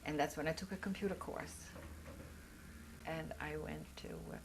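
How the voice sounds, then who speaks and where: A woman's voice: low-pitched, fairly even intonation, moderate pace, slight background noise, poor audio. An older woman whose first language is German, telling her life story in an oral history interview, reflective and earnest as she searches for words.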